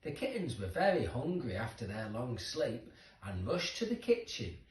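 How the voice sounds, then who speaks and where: A man's voice: mid-range voice, huge pitch swings, normal speed. A man narrating a children's story expressively, with dramatic emphasis for young listeners. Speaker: storybook reader. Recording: roomy echo, distant microphone.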